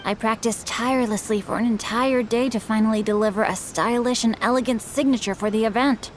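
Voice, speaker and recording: A courteous young male swordsman, voiced by a woman, formal and earnest in every word. The voice sits a little high for a young man. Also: fairly even intonation, a little fast, a little hiss or hum, lightly acted. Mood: sheepish.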